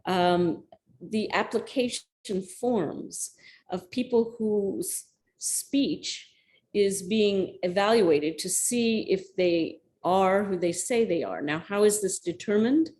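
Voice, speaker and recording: A woman's voice: medium pitch, slow pace, a little mellow. A woman lecturer answering an audience question over a video call, speaking thoughtfully with frequent restarts. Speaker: guest lecturer.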